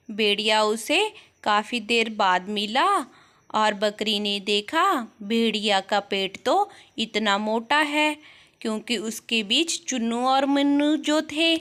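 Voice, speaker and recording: A woman tells a scripted story for children in a slightly high voice. She narrates at an extremely slow, measured pace, like a storyteller reading to small kids. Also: a bit bright.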